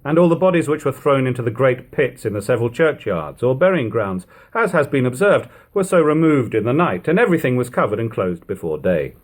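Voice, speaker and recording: A man reads classic prose aloud in the style of an audiobook. His mid-pitched voice moves widely up and down. The phrasing is measured and emphatic. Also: big pitch swings. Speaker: reader of a classic book. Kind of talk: audiobook-style literary narration.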